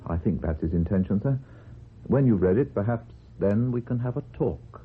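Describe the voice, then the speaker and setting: Lowish-voiced, polite British police sergeant. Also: male voice, expressive, normal speed, slightly rough. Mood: polite, guarded. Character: polite, discreet male police detective sergeant, calmly deferential. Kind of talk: British radio drama acting. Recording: muffled, noisy old radio recording.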